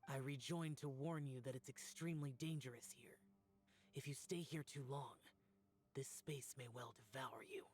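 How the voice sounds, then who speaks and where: Man voicing a husky, solemn guardian warrior. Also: medium pitch, fairly even intonation, a little mellow, faint music behind, lightly acted. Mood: grave warning. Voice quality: husky, breathy. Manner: solemn, measured, terse. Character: stern, self-sacrificing young male guardian warrior spirit. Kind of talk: video game voice acting.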